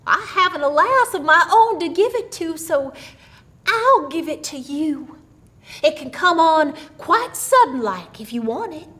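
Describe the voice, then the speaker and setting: Woman acting a sly old countrywoman, high-pitched. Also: fairly bright tone, exaggerated voice. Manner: coaxing, conspiratorial, folksy. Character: sly old countrywoman with secret, knowing humour.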